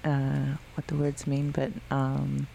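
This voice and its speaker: Very deep-voiced woman radio host. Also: completely flat delivery, gravelly, warm tone, slight background noise. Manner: halting, with frequent ums and uhs. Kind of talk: radio interview hosting. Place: radio studio.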